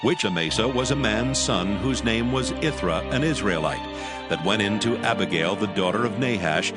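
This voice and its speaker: A man narrates scripture in a fairly low voice. His storytelling is solemn and deliberate, as in a dramatized audiobook. Prominent music runs behind him.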